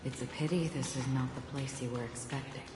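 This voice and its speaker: A stoic, enigmatic warrior woman from a video game. Her voice is low and measured, with poetic solemnity. It sits in an echoey space under loud music. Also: steady tone. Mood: solemn, detached. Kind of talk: scripted video game acting.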